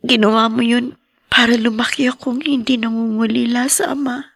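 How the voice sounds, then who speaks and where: A woman's voice: fairly high pitch, fairly even intonation, normal speed, vocal fry, breathy edge, no echo, studio quality. An actress playing a young woman named Danny who cries and whispers, mourning her late mother in a hospital room. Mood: grieving. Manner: soft tearful whispering. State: crying. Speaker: lead character. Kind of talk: dubbed TV drama acting.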